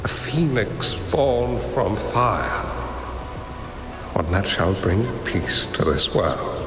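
An actor playing a wise old hermit master in a game cutscene, speaking in a measured, grave way. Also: male voice, on the low side, lively intonation. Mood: solemn. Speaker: mentor character. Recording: roomy reverb under game music.

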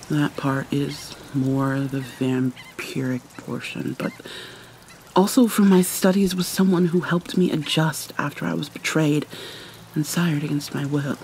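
A woman voicing a guarded, wounded vampire mermaid in an ASMR roleplay, speaking in a hesitant, halting, hushed and often breathy way. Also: deep voice, a little hiss or hum, poor audio. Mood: halting, uneasy. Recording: rain and water sounds behind. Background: rain and pouring water.

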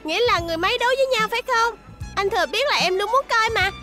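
A woman voicing a headstrong, eager little robot girl in a cartoon dub, pushy and excitable. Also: extremely high pitch, racing. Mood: eager, pleading. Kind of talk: cartoon dubbing. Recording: music behind the dialogue.

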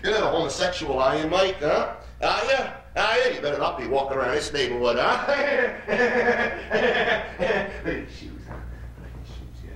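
An actor playing a menacing, mocking street gang leader in a theatrical monologue, badgering and repeating himself. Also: male voice, high-pitched, sing-song, normal speed, fairly bright tone. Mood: taunting. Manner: badgering, repetitive, punctuates lines with "huh?". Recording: echoey room, distant mic, noisy.

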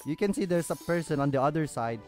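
A man's voice: on the high side, expressive, fast talker, a little mellow. A man hosting a gaming video with casual, off-the-cuff commentary, swearing in an exasperated, sarcastic way. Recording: music behind the voice.

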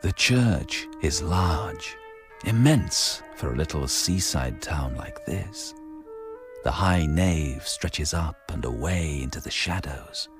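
An actor narrating a radio drama in a measured, deliberate, scripted manner. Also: male voice, very deep voice, lively intonation, over music, heavily acted. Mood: grave, measured. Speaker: narrator and closing announcer.

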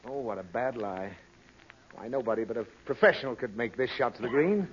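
A man's voice: medium pitch, lively intonation, a little fast, lightly acted. A man playing a fussy, flustered, exasperated father in a 1930s radio comedy. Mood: grudging. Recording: dull, noisy 1930s radio recording.

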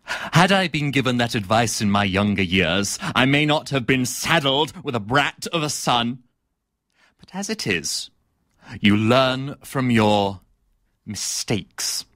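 Man playing a pompous, bright-voiced female theatre critic. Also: mid-range voice, expressive, takes it slowly, no echo, studio quality. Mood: withering, haughty. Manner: pompous, precisely worded, melodramatic. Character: haughty, embittered female theatre critic in meltdown. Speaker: actor performing a comic monologue. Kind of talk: theatrical comic soliloquy.

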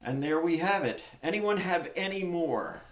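A man's voice: on the high side, animated, moderate pace. An older man hosting a poetry gathering on a video call, talking casually and reading short poems. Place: indoor room at home, on a video call. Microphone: computer mic on a video call. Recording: echoey room, clear noise, clicks.

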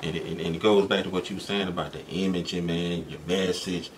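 A man's voice: sits low, steady tone, brisk. A young man chatting casually in a small studio, laid-back and agreeable with streetwise slang. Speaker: host. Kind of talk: casual conversation. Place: small studio room with purple lighting. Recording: noisy background hiss.

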